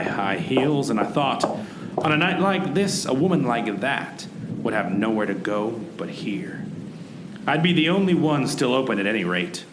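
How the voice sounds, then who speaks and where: An actor playing a brooding, wounded private eye in a hardboiled radio play, with melodramatic delivery and old-time detective slang. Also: male voice, fairly low pitch, close to an everyday voice. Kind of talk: hardboiled noir radio play narration and dialogue. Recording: echoey room with loud background noise.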